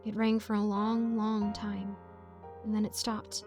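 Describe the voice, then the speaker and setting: A woman's voice: mid-range voice, flat delivery, a little slow, darkish tone, soft background music. A woman reading a scripted first-person horror story as its narrator, in a dramatic style.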